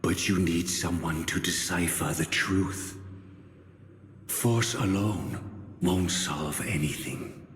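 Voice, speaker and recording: A man voicing a calm, courteous spirit formed from memory in an anime game, speaking with formal, solemn deliberation. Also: sits low, slow. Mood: grave, persuasive. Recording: echoey long reverb, faint noise.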